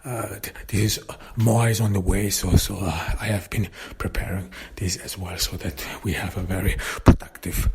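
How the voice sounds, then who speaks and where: A man whose first language is German, hosting his own podcast as an author and talking casually on his own, in a halting way with many restarts. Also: low-pitched, steady tone, creaky, noisy background. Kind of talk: casual solo podcast monologue.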